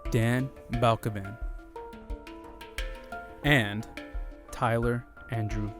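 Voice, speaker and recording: A man's voice: mid-range voice, fairly even intonation, extremely slow. A man reading scripted drama credits as an announcer. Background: prominent music.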